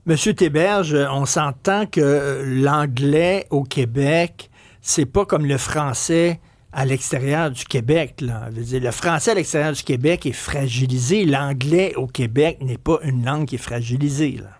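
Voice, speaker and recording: A man's voice: medium pitch, measured pace, no echo. A man with a Québécois accent hosting a talk radio interview, asking probing questions in a conversational way with hesitant restarts. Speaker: radio host.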